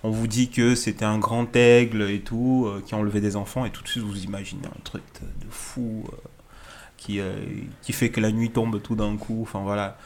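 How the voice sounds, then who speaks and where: A man in his thirties, originally from Alsace, answers interview questions as a guest artist. His voice sits on the low side, and he tells his stories in an easygoing way, full of fillers. A faint hiss runs behind him. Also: moderate pace. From Alsace, France. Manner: relaxed storytelling, frequent fillers. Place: apartment.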